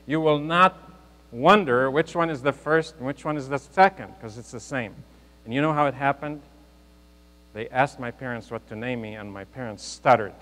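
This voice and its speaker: Man in his fifties, earnest motivational speaker who jokes with the crowd, mid-pitched, long hall reverb, low hum behind. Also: fairly bright tone. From Lebanon. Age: 50s. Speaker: guest speaker. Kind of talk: motivational speech to a youth audience. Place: large hall with a live audience.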